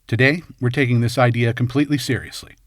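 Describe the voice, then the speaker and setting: A man's voice: medium pitch, steady tone, fast, no echo, clean studio sound. A man reading scripted film commentary as host, in a wry, tongue-in-cheek way. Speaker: video essay host.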